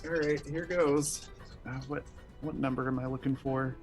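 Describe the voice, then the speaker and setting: A man's voice: medium pitch, steady tone, normal speed. A man playing a tabletop role-playing game, talking casually as he works through his rolls. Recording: faint music behind the voice.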